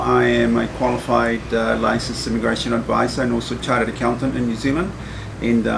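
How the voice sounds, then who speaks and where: Gravelly man with halting, measured answers. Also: mid-range voice, noisy background. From Chile. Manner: measured, with frequent hesitations and repeated words. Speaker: immigration adviser guest. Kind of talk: casual interview answers. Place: small office room, seated at a table.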